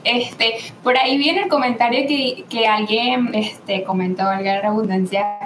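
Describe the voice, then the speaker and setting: A woman telling a lively story in a panel discussion, laughing as she talks. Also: on the high side, measured pace, reverberant, slight background noise, low-quality recording.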